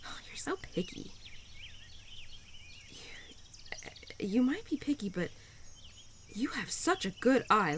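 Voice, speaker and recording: A woman plays a cheerful spirit of spring in an intimate audio roleplay. Her pitch swings in a lively way as she teases and encourages, getting flustered now and then. Insects and outdoor nature sounds are clearly heard behind her. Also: slight background noise. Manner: playful, encouraging, with flustered hesitations. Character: cheerful, playful, pun-loving spirit of spring, Mother Nature's daughter.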